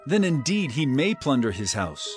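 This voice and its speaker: A man's voice: medium pitch, very animated, moderate pace, faint music behind, natural voice. An actor playing a stern, earnest male teacher with authority, declaiming emphatically in a dramatized scripture production. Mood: firm, reasoning.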